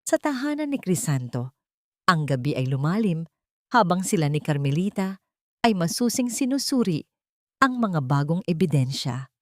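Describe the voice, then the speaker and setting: Expressive, low-pitched woman narrator. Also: normal speed, dry recording, clean studio sound. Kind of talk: scripted dramatic story narration.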